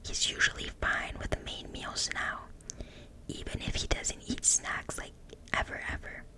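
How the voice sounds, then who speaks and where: French-accented woman, native French speaker, reading a book aloud in a steady, unvoiced ASMR whisper; trips over words and apologizes. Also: a little slow. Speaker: ASMR creator.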